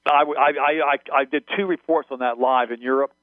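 A man speaking quickly over a tinny phone line, heard dry with no room around him. He rambles, stumbles and restarts, and German was his first language. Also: mid-range voice, steady tone, no echo. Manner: rambling, repeats words and restarts often. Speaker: guest. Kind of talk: phone-in radio interview.

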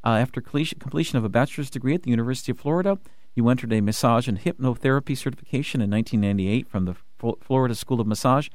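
A man hosting a radio talk show from the studio, talking in an excited rush with many restarts and fillers. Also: medium pitch, very fast, no echo. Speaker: radio host.

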